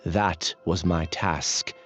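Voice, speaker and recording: An actor playing a gentle, resigned young male researcher in a video game, speaking in an earnest, measured way. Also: low-pitched, fairly even intonation, faint music behind, full character voice. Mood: wistful.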